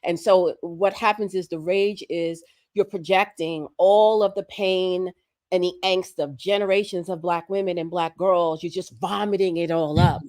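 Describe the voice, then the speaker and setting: A hoarse woman, sick with a respiratory virus, making earnest, emphatic points as a guest on a livestream conversation. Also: on the low side, fairly even intonation, normal speed, darkish tone, dry recording. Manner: earnest, emphatic, building points through repetition. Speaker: guest commentator.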